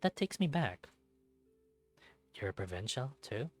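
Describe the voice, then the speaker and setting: A man voicing a suave, guarded raccoon in a visual novel, soft-spoken and measured, with a bitter edge under the teasing. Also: medium pitch, very animated, soft background music. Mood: casual, wistful.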